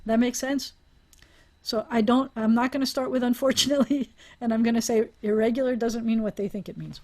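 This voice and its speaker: A woman's voice: on the high side, steady tone, a little fast, a little mellow. A woman teaching a language class over a video call, explaining aloud with restarts and small laughs. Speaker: Hebrew teacher.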